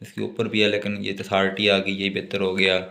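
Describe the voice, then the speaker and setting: A man with a Pakistani accent giving a law lecture, alternating deliberate reading of legal text with explanations in his own words. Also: deep voice, monotone, creaky, pushed and bright. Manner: switches between slow, deliberate reading in English and quicker explanation.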